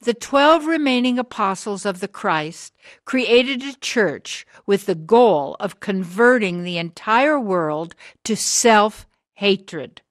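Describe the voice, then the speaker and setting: An actress as a hard, driven woman of sixty in a radio drama. She delivers her indictment slowly, with weighty rhetorical pauses. The sound is close and dry. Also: medium pitch, expressive, no echo. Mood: indignant. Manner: deliberate, rhetorical, emphatic pauses. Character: hard, driven sixty-year-old woman, bitter anti-church preacher.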